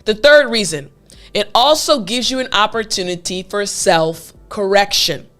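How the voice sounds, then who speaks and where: A woman's voice: medium pitch, unhurried, a bit bright, soft background music. A young woman teaching English, emphatic and encouraging, repeating her key points. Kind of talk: scripted lesson to camera.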